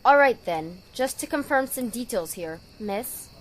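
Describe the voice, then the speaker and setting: A woman's voice: on the high side, expressive, a little slow. A woman playing a composed officer in an audio drama, questioning in a formal, polite, businesslike way. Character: composed, polite female officer taking statements. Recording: clear background noise, crickets.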